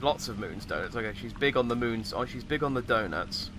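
A man with a slightly croaky voice giving casual, rambling commentary as he plays a game. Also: mid-range voice, animated, a little fast, noisy background. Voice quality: slightly croaky, recovering from voice loss. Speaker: gamer, host of a let's play.

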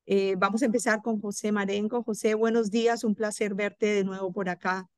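A woman's voice: medium pitch, fairly even intonation, moderate pace, no room sound, clean studio sound. A woman moderating an online course session, talking informally with frequent fillers and cueing the next slides. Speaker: webinar moderator.

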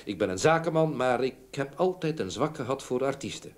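A man's voice: medium pitch, expressive, fairly quick, natural voice. An actor playing a genteel, middle-aged businessman, hesitantly reminiscing in an old radio drama. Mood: wistful, candid. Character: melancholy, genteel middle-aged businessman recalling his murdered daughter.

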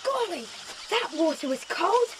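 A woman playing a breezy, lively English schoolchild in a children's audio drama about camping. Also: very high voice, unhurried, noisy background, exaggerated voice.

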